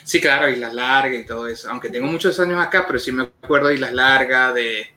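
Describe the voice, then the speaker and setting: A man's voice: mid-range voice, fast talker, pushed and bright. A man with a Venezuelan accent co-hosting a casual chat in a teasing manner. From Guacara, Carabobo, Venezuela. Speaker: co-host.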